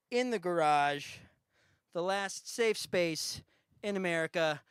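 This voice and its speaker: Bright-voiced joking talk show host. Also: male voice, on the high side, clear, resonant tone, no echo, studio quality. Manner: rambling, deadpan jokes with many fillers. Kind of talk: comedic live talk show hosting. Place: home garage studio.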